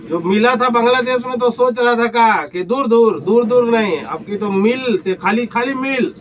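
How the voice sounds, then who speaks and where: Very high male voice, eastern Indian Hindi accent, confrontational call-out, taunting questions, distant off-mic recording. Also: moderate pace, a little hiss or hum. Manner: taunting, insulting, uses rhetorical questions. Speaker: vlogger.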